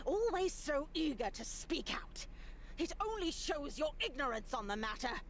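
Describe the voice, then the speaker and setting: A high-voiced, stern, sanctimonious nun. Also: female voice, sing-song, moderate pace, a little hiss or hum. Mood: cold, reproachful. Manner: haughty, pious, reproachful. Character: stern, sanctimonious nun, scolding her young charges. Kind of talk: dramatic visual novel voice acting.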